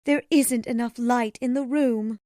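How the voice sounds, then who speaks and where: A woman voicing a gentle, careworn mother who speaks softly and tenderly while putting on brave cheer in a storybook reading. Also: fairly high pitch, steady tone, unhurried, no room sound, clean studio sound, pitched above the performer's own voice, natural voice. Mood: weary, tender.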